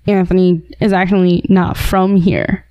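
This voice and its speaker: A woman's voice: lowish voice, level tone, measured pace, mellow, dry recording. A woman hosting a casual conversation, asking questions and musing on memories and money. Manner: reflective, interviewer asking questions. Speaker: host.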